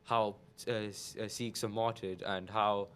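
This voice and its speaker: Young man, Punjabi native speaker, relaxed guest telling his story, lowish voice. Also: measured pace, clean tone. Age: young adult. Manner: relaxed, hesitant storytelling with fillers. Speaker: podcast guest.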